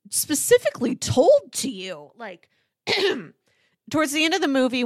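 A woman co-hosting a casual film discussion in an expressive way, given to acting out little mock quotes. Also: mid-range voice, dry recording, clean studio sound. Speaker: podcast co-host.

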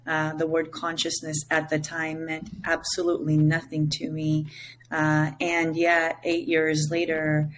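A woman from Israel, a native Hebrew speaker with an Israeli accent, speaking slowly and searchingly as a podcast guest over a video call. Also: low-pitched, monotone, slight background noise. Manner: slow, measured and searching, with many pauses and fillers. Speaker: guest, psychedelic integration coach. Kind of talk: reflective podcast conversation. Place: home with a window onto jungle.

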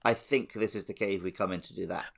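A man's voice: low voice, steady tone, fast talker, vocal fry. A man talking casually as a panelist on a gaming podcast.

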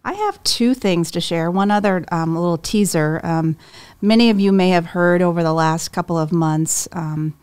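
A woman's voice: fairly low pitch, normal speed, darkish tone, clean tone. A woman who leads human resources, a guest on a casual company podcast, speaking in an upbeat, appreciative way with some fillers.

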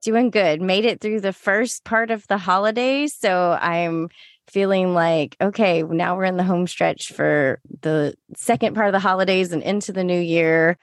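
Lower-voiced American woman co-hosting a podcast. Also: steady tone, a little slow, no room sound, studio quality. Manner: chatty, explanatory. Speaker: podcast co-host and lactation consultant. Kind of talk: casual podcast conversation.

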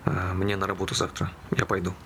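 A man's voice: low voice, monotone, a little fast, over music. An actor playing a laconic, patient male friend with a terse, matter-of-fact manner in a stage drama. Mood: brisk, tired. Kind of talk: naturalistic stage dialogue.

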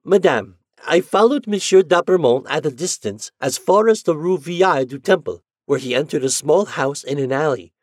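A man's voice: a little high, expressive, moderate pace, hardly any pauses, no room sound, clean studio sound, voice pushed up. A man voicing an eager young errand boy who reports in an earnest, eager way.

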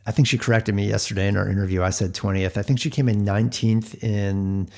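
A man's voice: lowish voice, steady tone, quick pace, creaky, darkish tone. A man co-hosting a casual sports podcast, conversational and analytical, often thinking aloud. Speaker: podcast co-host.

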